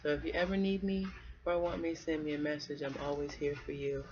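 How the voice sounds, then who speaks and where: A woman with a low-pitched voice speaks personally to young listeners and reads a storybook aloud. It is an amateur recording with slightly muffled sound. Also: fairly quick. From United States. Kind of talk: personal message and children's read-aloud.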